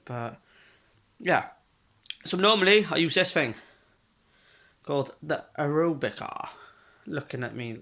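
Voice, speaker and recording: Bright-voiced, congested young man. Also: fairly high pitch. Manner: rambling, chatty, frequent fillers and restarts. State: congested and coughing, sore throat. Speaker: vlogger. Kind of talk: casual video diary. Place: at home.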